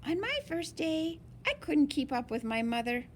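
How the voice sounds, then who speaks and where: Animated woman reading a children's story. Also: high voice, slight background noise. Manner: slow, deliberate, animated phrasing for young listeners. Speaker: storyteller reading a picture book aloud. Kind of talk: expressive children's read-aloud.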